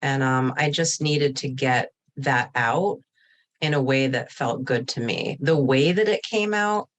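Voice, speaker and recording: Middle aged woman poet, talking candid and earnest, like she's confiding in you. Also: a bass voice. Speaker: contributing poet. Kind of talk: candid, personal spoken reflection.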